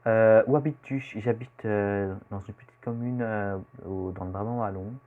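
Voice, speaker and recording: Teen boy, Belgian French accent, hesitant rambling vlogger, lowish voice, dull home-camera sound. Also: steady tone, normal speed. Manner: rambling, hesitant with many fillers. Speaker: youtuber. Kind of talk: casual self-introduction vlog.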